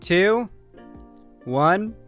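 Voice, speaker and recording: A man's voice: high-pitched, animated, extremely slow, fairly bright tone. A man hosting a livestream, talking in a casual, informal and chatty way. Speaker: livestream host. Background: background guitar music.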